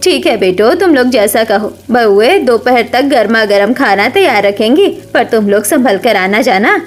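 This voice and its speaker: A slightly high-voiced older mother-in-law from a family cartoon, acted by a woman. She is motherly and earnest, worrying over her household but determined in what she says. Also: lively intonation, normal speed, close to an everyday voice. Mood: pleading, motherly. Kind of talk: Hindi animated family story acting.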